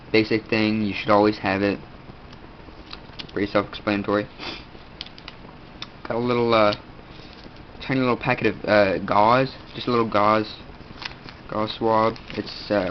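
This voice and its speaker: A man's voice: lowish voice, level tone. A teenage boy with a stuffed-up nose, casually showing his kit and rambling with lots of fillers. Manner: rambling, halting, many fillers. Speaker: video host. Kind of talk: casual gear show-and-tell. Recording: dull lo-fi audio, faint room noise.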